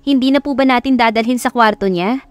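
A woman's voice: on the high side, fairly quick, close to an everyday voice. A woman with a Filipino accent playing a caring, fretful and respectful household maid in an audiobook story. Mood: fussing. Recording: background music under voice.

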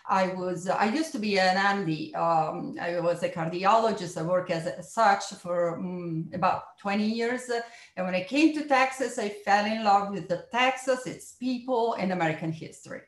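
A woman's voice: mid-range voice, moderate pace, roomy sound. A middle-aged woman from Italy with an Italian accent, presenting her research on a video call with frequent hesitant fillers. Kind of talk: online panel presentation. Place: home room, indoors.

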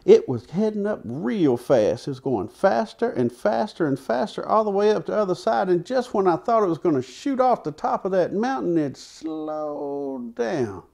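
A man tells a folksy tall tale, unhurried, with dramatic pauses and repetition. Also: sits high, very animated, creaky, a little mellow. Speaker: storyteller. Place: living room in a house, seated by a fireplace. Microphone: lapel.

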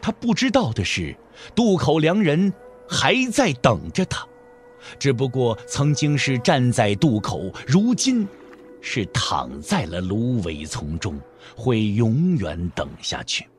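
A man's voice: medium pitch, huge pitch swings, takes it slowly. A man narrating a dramatic audio drama as a scripted storyteller. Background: background music.

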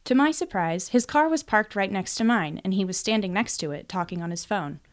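A woman narrates a novel in the first person. Her pitch sits in the middle range, and she reads as a scripted audiobook storyteller. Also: expressive, brisk, faint music behind.

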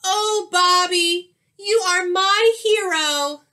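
A woman's voice: very high voice, extremely slow, pushed and bright, pitched above the performer's own voice, exaggerated voice. A woman voicing a stern, commanding woman teacher in a storybook, seen as a monster. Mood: urgent, dramatic. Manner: barking, commanding.

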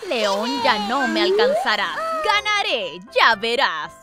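A boastful cartoon hedgehog taunts in exaggerated rhymes. The pitch is highish and swings wildly, over loud music. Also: wildly swooping pitch, takes it slowly, exaggerated voice. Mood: cocky. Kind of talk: rhyming children's cartoon dubbing. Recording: loud children's music behind.

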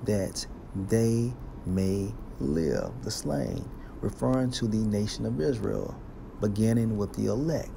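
A man teaching scripture, reading verses aloud slowly and explaining each one with a deliberate delivery. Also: on the low side, vocal fry, mellow, slight background noise. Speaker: Bible teacher.

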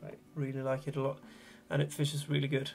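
A man in his thirties with a Swedish accent, a Swedish native speaker explaining fly tying in a relaxed, hesitant way from a small home workshop. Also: mid-range voice, fairly quick, creaky, faint music behind. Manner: relaxed, hesitant, thinking aloud. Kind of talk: casual tutorial narration.

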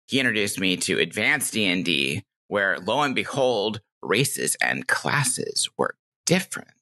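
A woman's voice: a bass voice, very animated, measured pace, pushed and bright. A middle-aged woman chatting casually and enthusiastically as a podcast guest, telling anecdotes. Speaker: podcast guest, game master.

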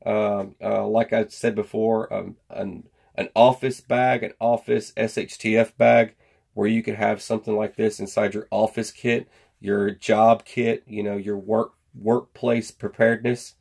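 A man's voice: fairly low pitch, flat delivery, unhurried, gravelly, darkish tone. An American man giving a casual, rambling product chat to camera in folksy slang. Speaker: survival gear vlogger. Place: indoor workshop at a workbench.

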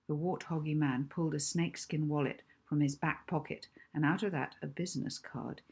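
A woman's voice: low voice, fairly even intonation, a little fast, husky. A woman, narrating a children's novel expressively. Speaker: author reading own children's novel.

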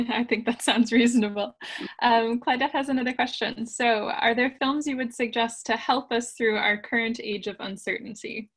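A young woman hosts a question session over a video call. Her voice sits a little high. She is polite and reads the audience questions aloud. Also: steady tone, moderate pace, a bit bright. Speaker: library event host. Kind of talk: moderating a Q&A.

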